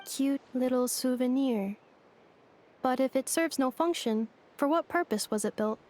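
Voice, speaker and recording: A woman's voice: high voice, measured pace. A literal-minded female android. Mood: inquisitive. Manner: flat, precise, formal machine-like phrasing. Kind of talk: game voice acting. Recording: game dialogue over music. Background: game score music.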